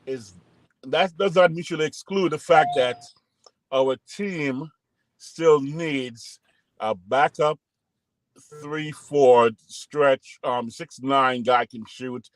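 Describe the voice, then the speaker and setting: A man's voice: medium pitch, slow, no echo. A man co-hosting a podcast, rambling and joking through a casual sports debate over a call and talking over others. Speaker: podcast co-host.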